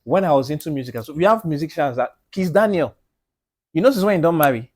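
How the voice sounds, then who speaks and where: A man co-hosting a casual podcast discussion. He talks in an animated, emphatic way, keeps asking "do you understand?", and drops to a low, quiet voice when he presses a point. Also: fast. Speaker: podcast co-host. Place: podcast studio.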